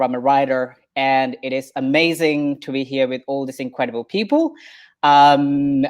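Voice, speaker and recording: A panel host and theatre maker from Western Australia, opening and steering an online discussion briskly. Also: medium pitch. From southwestern Western Australia. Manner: brisk, upbeat host with fillers.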